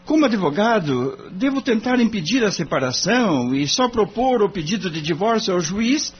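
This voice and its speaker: An earnest young lawyer played by a man in an audio drama, courteous and thoughtful. The voice sits fairly high and moves freely up and down, on a dull recording with a faint hum. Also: sing-song, normal speed, breathy edge, heavily acted. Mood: earnest polite. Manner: polite, thoughtful.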